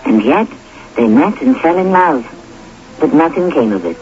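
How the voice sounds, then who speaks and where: A man hosting a radio drama, narrating in a deliberate, philosophical, rhetorical scripted style. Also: fairly high pitch, big pitch swings, a little mellow. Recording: dull old radio recording.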